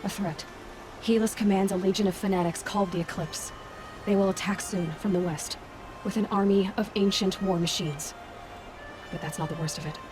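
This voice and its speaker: An actress playing a determined, earnest young heroine in a video game, speaking with urgency. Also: female voice, medium pitch, steady tone, moderate pace, over music, natural voice. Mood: urgent, resolute. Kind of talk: dramatic video game dialogue.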